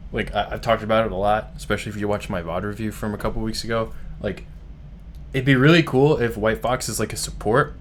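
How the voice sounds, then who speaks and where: A man hosting a live stream, reacting to a game trailer in casual, excited, rambling talk full of "like". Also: medium pitch, slight background noise. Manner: excited, rambling, heavy use of "like". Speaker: streamer host.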